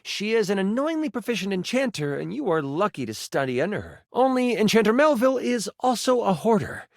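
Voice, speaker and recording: An actor playing an excitable, encouraging male game master who narrates tabletop fantasy with theatrical, geeky eagerness. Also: sits high, brisk, creaky, no echo, studio quality, exaggerated voice. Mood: dramatic narrating. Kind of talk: dramatic tabletop fantasy narration with playful asides.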